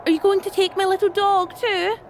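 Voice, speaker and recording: A woman's voice: very high-pitched, little pitch movement, fairly quick, slight background noise. A woman voicing a scornful, exasperated coven leader in a wrestling video game, with a sardonic, scolding manner. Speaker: voice actor in a video game cutscene.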